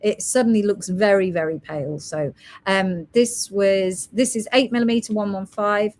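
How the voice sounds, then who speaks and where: A woman's voice: medium pitch, moderate pace, darkish tone. A woman hosting a live stream selling gemstone beads, chatting casually and reading out product codes and prices. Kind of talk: casual live sales chat.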